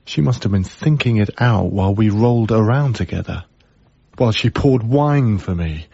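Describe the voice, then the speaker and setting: A man's voice: lowish voice, lively intonation, normal speed, creaky, warm tone, slightly rough, dry recording, dull audio, full character voice. An actor playing a heartbroken, guarded young man, wry and reflective, in a radio drama. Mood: brooding, reflective. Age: young adult. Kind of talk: radio drama acting with intimate first-person narration.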